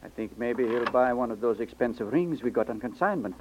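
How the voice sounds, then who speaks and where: Man acting a courteous, animated old-time radio shopkeeper. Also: medium pitch, fairly quick, slight background noise, close to an everyday voice. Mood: dismissive, reassuring. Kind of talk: 1950s radio drama acting. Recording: muffled 1950s radio recording.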